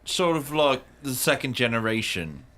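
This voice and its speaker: A man's voice: medium pitch, very animated, moderate pace, fairly bright tone. A man talking in casual in-character banter as a player in a tabletop role-playing game.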